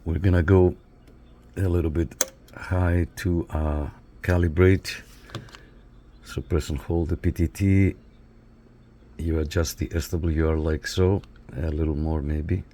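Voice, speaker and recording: A man's voice: extremely low pitch, steady tone, slow pace, pauses often, warm tone, slight background noise. A man reviewing a piece of equipment, casually talking viewers through it step by step in an instructional way. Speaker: radio reviewer giving a demonstration. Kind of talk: casual hands-on product walkthrough.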